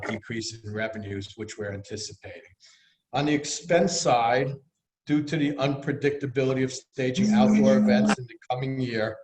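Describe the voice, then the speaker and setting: A man's voice: medium pitch, echoey room, a little hiss or hum, poor audio. A man with a Bronx accent giving a finance report on a video call, hesitant, with many fillers and self-corrections. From New York. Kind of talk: virtual meeting report.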